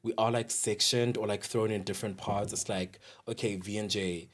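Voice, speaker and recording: Low-pitched South African podcast guest. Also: fairly even intonation, normal speed. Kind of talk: casual interview chat.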